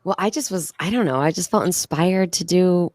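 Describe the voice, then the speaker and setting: A woman's voice: fairly low pitch, normal speed, darkish tone, no room sound. A woman co-hosting a comedy show, chatting casually in an effusive, slangy way. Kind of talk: casual group banter.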